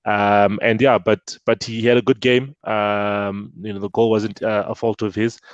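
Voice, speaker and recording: A man's voice: fairly low pitch, measured pace, smooth, no room sound, dull audio. A man co-hosting a casual football show, talking with many fillers and restarts. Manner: hesitant, many fillers and restarts, excitable jokes. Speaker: co-host.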